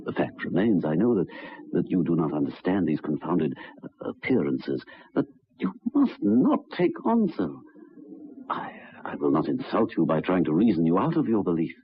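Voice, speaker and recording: An actor playing a troubled, earnest gentleman who recounts a ghostly tale in formal, deliberate, halting old-time radio style, with a husky voice. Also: sits low, very animated, slight background noise. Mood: soothing. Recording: dull vintage radio recording.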